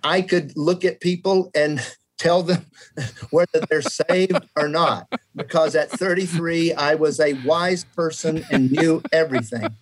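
A man's voice: on the high side, fairly even intonation, measured pace, low-quality recording. Earnest older man in his seventies. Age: 70s. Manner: reflective, earnest, self-deprecating. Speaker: guest scholar. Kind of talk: conversational theology discussion.

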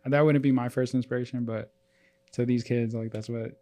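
A man in his twenties talks as a podcast co-host. His pitch is average for a man, and he speaks in an informal, conversational way. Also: steady tone, brisk, mellow. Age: 20s. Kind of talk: casual chat.

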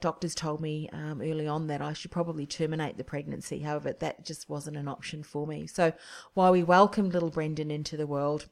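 A woman hosts her show and shares a personal story of loss and faith. Her voice sits low, with a gravelly edge, and she speaks earnestly, slowing into soft pauses. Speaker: podcast host.

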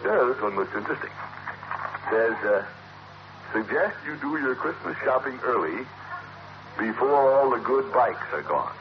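An actor playing a pompous middle-aged uncle in an old radio sitcom, sputtering and muttering to himself. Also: male voice, mid-range voice, animated, moderate pace, pauses often, lightly acted. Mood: self-satisfied. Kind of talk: 1940s-50s radio sitcom acting. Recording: muffled, noisy vintage radio recording.

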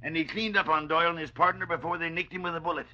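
A bright, hearty police sergeant. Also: male voice, on the high side, fairly even intonation, rapid-fire. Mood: reporting, satisfied. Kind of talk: 1940s radio drama acting. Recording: dull, band-limited old radio recording.

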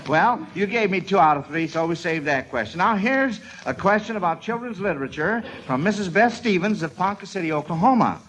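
A man's voice: sits high, animated, fairly quick, hardly any pauses, fairly bright tone, a little hiss or hum, low-quality recording. A man hosting a 1940s radio quiz show in a folksy, avuncular way, on an old radio recording.

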